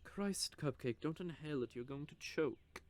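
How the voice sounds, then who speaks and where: A man voicing a cocky, possessive male vampire who teases with soft whispered asides, in an audio roleplay. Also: on the high side, lively intonation, moderate pace, lightly acted. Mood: teasing. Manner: teasing, smug, with soft whispered stretches.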